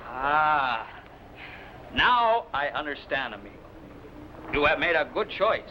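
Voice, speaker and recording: An actor playing a scheming Spanish sailor who questions people with sly menace, in an old adventure serial. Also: male voice, a little high, big pitch swings, takes it slowly, muffled recording. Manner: sly, interrogating, sardonic. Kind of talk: old-time science fiction adventure serial acting. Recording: noisy old serial soundtrack, constant background hiss.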